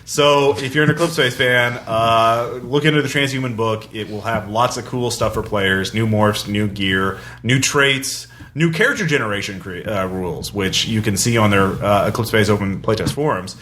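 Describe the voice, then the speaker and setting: A man hosting a podcast in casual chat, giving announcements in a rambling, halting way full of asides. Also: on the low side, a bit bright. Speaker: podcast host. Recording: clear background noise.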